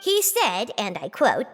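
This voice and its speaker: An actress playing a polite, dutiful messenger in a video game, formal and earnest. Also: female voice, mid-range voice, wildly swooping pitch, slow pace, husky, faint music behind, exaggerated voice. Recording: reverberant hall sound.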